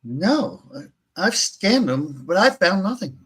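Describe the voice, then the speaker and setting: A man's voice: sits high, very animated, slow pace, fairly bright tone, breathy edge, exaggerated voice. A man playing a sober starship doctor in a live radio-theatre reading, explaining findings in a clinical manner. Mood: clinical, grave. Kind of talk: podcast live script reading.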